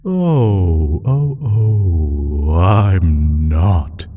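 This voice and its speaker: A man playing an ancient, evil male creature in an audio roleplay, speaking in a slow, teasing, sinister drawl with a husky, breathy voice that dips toward a whisper. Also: animated, narrow, tinny audio, soft background music, full character voice. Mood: smug taunting. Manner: drawn-out, halting, teasing delivery with low chuckles. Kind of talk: slow, theatrical audio roleplay monologue addressed to listener.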